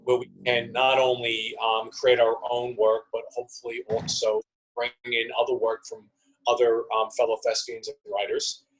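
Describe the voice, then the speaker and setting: A man's voice: medium pitch, monotone, normal speed, faint music behind, rough recording. A man speaking as an interview guest on a video call, chatting casually in an earnest, tentative way. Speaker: interview guest, theater company member.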